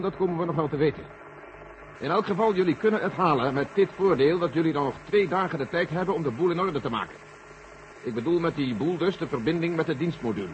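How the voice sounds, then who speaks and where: An actor playing a brusque, authoritative general in a 1970s science fiction radio play. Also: male voice, fairly high pitch, natural voice. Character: brusque male American general in charge of space mission. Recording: dull, noisy vintage radio play recording.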